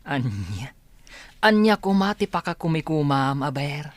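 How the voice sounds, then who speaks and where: A woman's voice: sits low, very animated, unhurried, no echo. A woman playing a bossy, bickering grown sibling in an Ilocano comedy radio drama, needling and incredulous, with an Ilocano Filipino accent.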